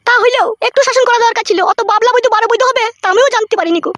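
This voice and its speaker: A woman's voice: very high voice, racing, a bit bright, no echo. A woman voicing a scheming, combative village mother-in-law in a comic cartoon. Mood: nagging. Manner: combative, foul-mouthed nagging.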